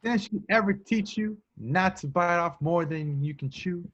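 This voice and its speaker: A man's voice: sits high, measured pace, a bit bright. A man playing a cocky, indignant young male secret agent with streetwise talk in an amateur table read. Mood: taunting. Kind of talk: casual at-home script table read. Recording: dull lo-fi home recording.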